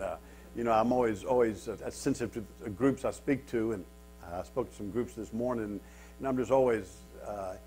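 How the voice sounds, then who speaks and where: A man's voice: medium pitch, darkish tone, slight background noise. A man from Kansas in his fifties, a guest speaker telling stories to a men's retreat in a church hall, stuttering, with many repeats and self-mocking humor. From Kansas, USA. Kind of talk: storytelling talk to a men's retreat. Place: wood-paneled church hall with a seated audience.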